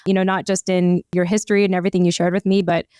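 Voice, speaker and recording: A woman hosting a podcast, asking questions in a warm, affirming way with many fillers. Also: on the low side, level tone, fast, clear, resonant tone, no room sound, clean studio sound. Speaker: podcast host. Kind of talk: casual interview chat.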